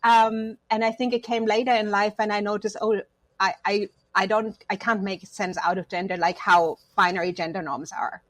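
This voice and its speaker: A woman's voice: mid-range voice, steady tone, moderate pace, a bit bright, no room sound. A woman from Germany, not an English speaker, speaking reflectively about personal matters as an interview guest. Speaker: podcast guest, counselor.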